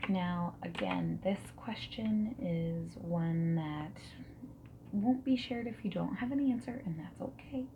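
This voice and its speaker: Slow, soft, half-whispering ASMR woman. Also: lowish voice, lo-fi sound. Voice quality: soft, breathy, often whispering. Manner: friendly, reassuring, gently questioning. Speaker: ASMR roleplayer as a dating service interviewer. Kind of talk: soft-spoken ASMR roleplay. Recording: steady noise, keyboard typing and clicks. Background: mechanical keyboard typing and clicks.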